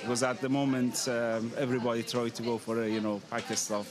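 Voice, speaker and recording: Crowd chatter outdoors, man, medium pitch, Iranian accent, halting phrasing, chef being interviewed. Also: flat delivery. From Iran. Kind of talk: informal interview answers. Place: open tent at an outdoor street food festival. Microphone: handheld microphone held out by interviewer. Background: crowd noise.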